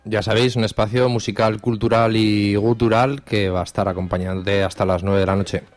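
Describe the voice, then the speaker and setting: Low-voiced man from Spain over music. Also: steady tone, fairly quick. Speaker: radio show co-host. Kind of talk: casual live radio chat. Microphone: studio radio microphone.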